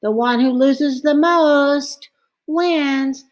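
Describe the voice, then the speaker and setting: A woman's voice: sits high, extremely slow, voice pushed up. A woman voicing a bossy, taunting young schoolgirl in a storybook, with emphatic show-off delivery.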